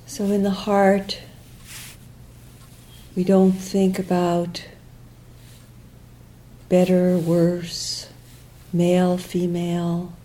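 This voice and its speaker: A woman leading a guided meditation as a teacher, speaking gently in short, unhurried phrases with long pauses and quiet, trailing endings. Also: lowish voice, monotone.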